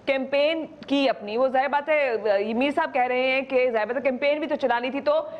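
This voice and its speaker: A woman's voice: high-pitched, lo-fi sound. A Pakistani woman hosting a political discussion show, putting questions to her guests in a Pakistani accent. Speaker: talk show host.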